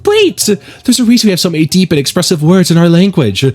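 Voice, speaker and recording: A man's voice: sits high, sing-song, fast, pitched above the performer's own voice. A man voicing an earnest, bookish teenage girl with an articulate, proper manner in a visual novel game. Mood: earnest, defensive.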